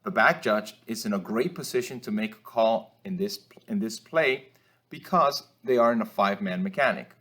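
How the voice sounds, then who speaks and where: A man narrates a sports training film review as an instructor, explaining in a measured, slightly hesitant way. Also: fairly low pitch, animated, fairly bright tone. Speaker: football officiating instructor.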